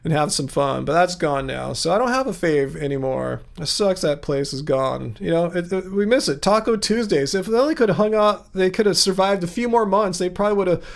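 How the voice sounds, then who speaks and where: A man's voice: high voice, fairly quick, vocal fry, few pauses. A man hosting a livestream, chatting casually and rambling with fillers. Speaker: livestream host.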